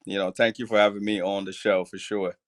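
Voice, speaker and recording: Low-voiced man with a Cameroonian accent. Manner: relaxed, laughs easily, many filler pauses. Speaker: podcast guest, clothing brand founder. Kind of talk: casual interview chat.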